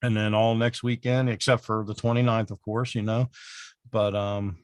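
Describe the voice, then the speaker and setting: A man co-hosting a podcast in casual chat, with a raspy voice, sounding worn out and rambling with lots of fillers. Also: fairly low pitch, level tone, normal speed, no echo, clean studio sound. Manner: rambling, full of "you know" fillers. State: exhausted, worn out. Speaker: podcast co-host.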